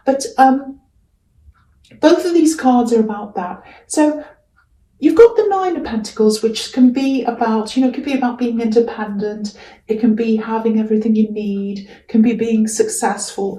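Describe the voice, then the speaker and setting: A woman's voice: a little high, mellow. Casting: female tarot reader, casual and hesitant, reassuring, slightly crackly voice; roomy sound, set back from the microphone. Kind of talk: casual, improvised tarot reading. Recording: roomy echo, distant from mic.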